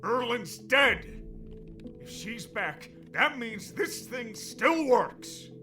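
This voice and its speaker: A man voicing a desperate middle-aged father who shouts and pleads frantically. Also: very high voice, big pitch swings, drawn-out words, over music, pitched above the performer's own voice, full character voice. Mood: frantic.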